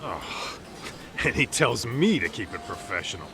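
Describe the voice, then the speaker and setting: An actor playing a cocky, wisecracking detective in a video game, talking in a casual, teasing way. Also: male voice, lowish voice, very animated, measured pace. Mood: wry, joking. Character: cocky, brash, joking male detective. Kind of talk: video game cutscene voice acting.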